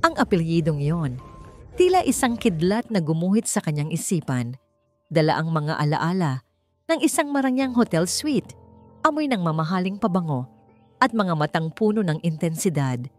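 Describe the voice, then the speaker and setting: A woman's voice: on the low side, sing-song, moderate pace, a little mellow, faint music behind. A woman narrator reading a dramatic scripted romance story.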